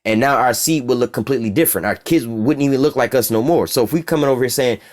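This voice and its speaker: A man's voice: mid-range voice, quick pace. A man from the United States with an American accent, giving an informal, profane bible teaching and keeps asking "all right?".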